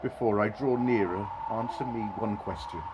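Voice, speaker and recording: An actor playing an old Victorian miser in an audio drama, earnest and theatrical, with formal old-fashioned phrasing. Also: male voice, fairly low pitch, moderate pace, very noisy, close to an everyday voice. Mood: fearful, solemn. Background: music.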